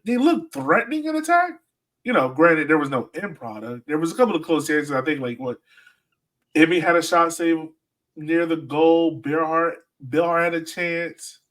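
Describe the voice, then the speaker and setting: A man's voice: a little high, moderate pace, a bit bright, recorded from a distance. A man co-hosting a sports podcast, chatting casually and telling stories in slangy, acted-out quotes. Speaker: podcast co-host.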